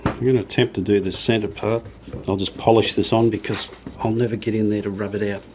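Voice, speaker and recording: A man's voice: fairly low pitch, level tone, a little fast, a little mellow. A man casually talking through hands-on work as he does it, understated and a little tentative, thinking aloud. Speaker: host of a hobby radio restoration channel. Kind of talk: casual hands-on workshop narration while working. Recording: clear background noise, sanding and rubbing sounds. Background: rubbing and sanding while working.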